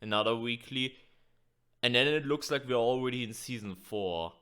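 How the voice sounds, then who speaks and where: Sick young man, bright crisp voice. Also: mid-range voice, moderate pace. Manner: casual, filler-heavy, swears. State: sick, losing his voice. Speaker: gaming channel host. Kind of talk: casual video commentary.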